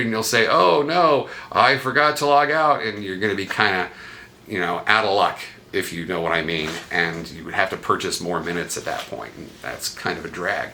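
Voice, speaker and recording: Low-pitched man with a bright, forceful voice. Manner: conversational, asks himself questions aloud. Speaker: vlog host. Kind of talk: casual explanatory vlog talk.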